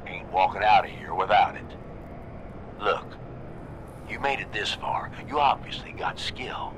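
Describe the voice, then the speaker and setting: An actor voicing a gruff, scheming raider in a video game, blunt, pushy and streetwise. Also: male voice, animated, a little slow. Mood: persuasive. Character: gruff, scheming male raider coaching a captive. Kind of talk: video game character dialogue. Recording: game audio with low rumbling noise.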